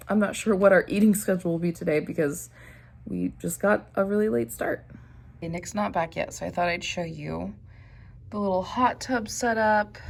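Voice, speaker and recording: A woman's voice: medium pitch, creaky. A young woman with a Midwestern accent, chatting casually to a handheld camera as she shows a vacation rental, with lots of fillers and light laughs. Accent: Midwestern American. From American Midwest. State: slightly raspy morning voice. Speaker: vlogger. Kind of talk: casual vlog chat. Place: vacation rental house and car.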